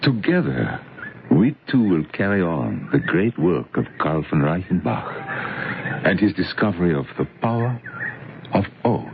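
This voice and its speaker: An actor playing a grandiose, fervent professor of occult science, pompous and declamatory, in a radio drama. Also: male voice, low voice, very animated, measured pace, heavily acted. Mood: fervent, exalted. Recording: tinny, very noisy old radio.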